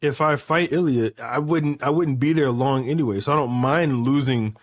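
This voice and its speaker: A man's voice: mid-range voice, moderate pace, no echo, clean studio sound. A man in his late twenties chatting casually and rambling through hypotheticals, repeating phrases emphatically with profane slang. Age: late 20s.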